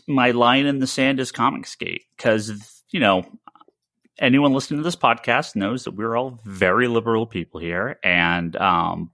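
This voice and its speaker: A man giving casual podcast commentary as host, talking haltingly and often repeating words as he goes. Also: mid-range voice, sharp tone, no room sound, clean studio sound. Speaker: podcast host and comic shop owner.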